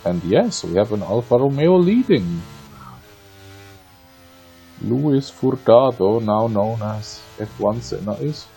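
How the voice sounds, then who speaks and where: An Austrian man, a native German speaker, commentates on a motor race in a low, creaky voice. His tone is soft-edged and dark, his delivery conversational with playful asides. Music plays behind him. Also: expressive, takes it slowly, long pauses. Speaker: sim racing league commentator.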